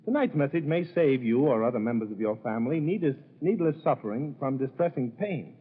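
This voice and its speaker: A man's voice: mid-range voice, expressive, fairly quick, husky. A man as a sponsor's announcer reading a formal, solemn 1930s radio advertisement. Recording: tinny, scratchy-voiced 1930s radio recording.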